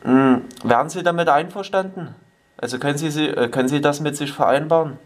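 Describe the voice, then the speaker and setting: Man putting on a stilted, high-pitched old man. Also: fairly quick, natural voice. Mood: deadpan, polite. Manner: stilted, formal, deadpan, throat-clearing. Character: polite, eccentric elderly male prank caller. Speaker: prank caller. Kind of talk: improvised prank phone call. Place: small home kitchen, indoors.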